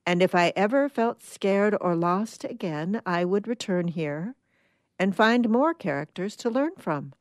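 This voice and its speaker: A woman's voice: medium pitch, expressive, unhurried, dry recording, studio quality, natural voice. A woman voicing a reflective, hopeful survivor about to leave Earth, earnest, in a short story reading.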